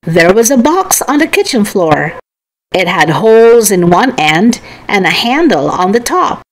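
A woman reading a children's storybook aloud, with careful, expressive enunciation. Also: medium pitch, a little slow, low-quality recording. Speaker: storybook reader. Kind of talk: children's read-aloud narration.

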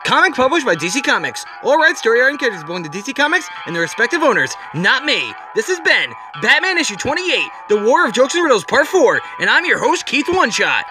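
A man with a notably high voice narrates a comic book as its host. His delivery is scripted and dramatic, and music plays clearly behind him. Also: very animated, fast, few pauses.

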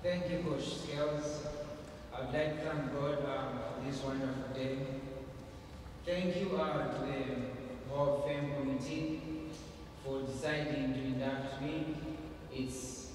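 A man from Zambia giving a measured, earnest acceptance speech as a hall of fame inductee. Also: medium pitch, steady tone. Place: large hall at an awards ceremony. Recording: echoey hall, far from the mic, background noise.

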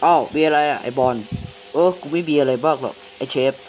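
A man's voice: fairly high pitch, steady tone, fairly quick, loud music behind the voice, close to an everyday voice. A man playing a crude, jumpy young man chatting on the phone in a horror skit, blunt, informal and sweary. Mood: casual, bored. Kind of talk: casual slangy horror skit dialogue. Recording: faintly noisy hissing recording.

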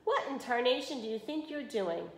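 Woman playing a feisty, booming storybook grandma. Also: lively intonation, normal speed. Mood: indignant, booming. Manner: booming, scolding, sarcastic. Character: feisty, bossy old farmer grandma. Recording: roomy home video recording.

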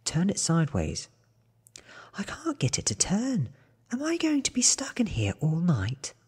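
A man voicing a cool, cunning female vampire feigning innocence in soft, whispery ASMR audiobook dialogue. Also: high voice, huge pitch swings, measured pace, in a large hall, pitched above the performer's own voice, heavily acted. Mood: feigned frustration. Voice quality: breathy, whispery.

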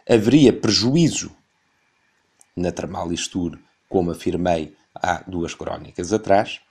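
A man in his thirties with a European Portuguese accent, reading a critical radio commentary and reciting long figures in a measured, careful way. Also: sits low, a little mellow, husky. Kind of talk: scripted opinion commentary. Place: radio studio.

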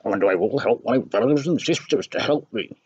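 A man voicing a kind, reassuring fish in a storybook, gentle and warm-hearted. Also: medium pitch, animated, normal speed, breathy edge, no echo, highs cut off, pitched above the performer's own voice. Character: kindly fish friend.

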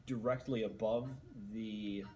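A young man in a room, talking into a headset mic in a deadpan, earnest infomercial tone. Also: on the low side, takes it slowly, a little hiss or hum. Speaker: co-host. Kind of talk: mock-serious cooking commentary.

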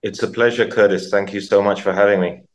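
A man talks as a podcast guest. His voice sits low and stays fairly flat. He speaks reflectively and often stops to repeat words. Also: fast. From Croatia, raised in London. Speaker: guest, nonprofit founder. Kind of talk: casual interview talk.

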